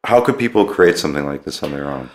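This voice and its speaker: A man's voice: very deep voice, lively intonation, fast talker. A man hosting a podcast, interviewing his guest in a casual, conversational way. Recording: roomy, echoey sound.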